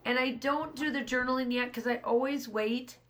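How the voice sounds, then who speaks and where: Hoarse woman, thirties, rambling crafting voice-over, slightly high pitch. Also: steady tone, normal speed. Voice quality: rambling, restarts often. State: hoarse, scratchy voice. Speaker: scrapbooking channel host. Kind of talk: casual voice-over narration of a craft process.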